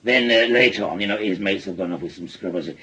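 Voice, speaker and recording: An actor playing a bitter, weary, ailing working-class father with a Northern English accent and a husky, halting delivery in a radio drama. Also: sits low, a bit bright. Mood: brooding, halting. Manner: halting, repeating himself. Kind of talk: naturalistic British radio drama acting.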